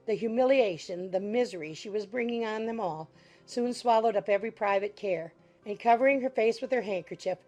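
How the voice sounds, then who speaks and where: A woman's voice: mid-range voice, warm tone. A woman giving a scripted literary narration for a dramatized audiobook.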